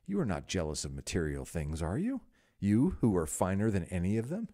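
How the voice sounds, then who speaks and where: A man's voice: deep voice, huge pitch swings, a little fast, mellow, heavily acted. A man voicing an earnest, devoted painter who pleads with a friend. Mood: pleading.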